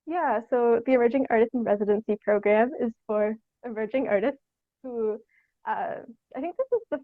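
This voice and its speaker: A young adult woman, chatting casually as an interview guest in a halting, tentative way, thinking aloud with lots of "like". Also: fairly high pitch, dry recording, phone-quality sound. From Canada. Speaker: guest theatre artist.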